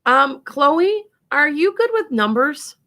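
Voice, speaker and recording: Bright-voiced wisconsin lady doing psychic readings on her show, rambles and laughs a lot. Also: high-pitched, sing-song, slow. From Wisconsin, USA. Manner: chatty, rambling, laughs often. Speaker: psychic radio show host. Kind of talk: casual chat and psychic readings.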